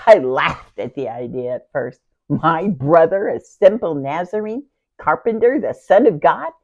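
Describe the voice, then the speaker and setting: A woman playing a devoted, grieving youngest sister in a theatrical, deliberate Bible monologue that moves between hushed tones and outcries. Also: deep voice, creaky, heavily acted. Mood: yearning, conflicted. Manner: theatrical, deliberate, swinging from hushed near-whispers to loud outcries. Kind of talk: dramatic first-person Bible monologue. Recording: dull, lo-fi sound.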